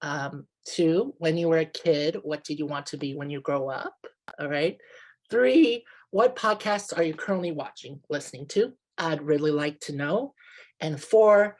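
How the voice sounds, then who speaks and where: Upbeat chatty man presenting. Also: a little high. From Canada. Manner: upbeat, chatty, frequent filler words. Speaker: webinar presenter. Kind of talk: friendly online workshop talk.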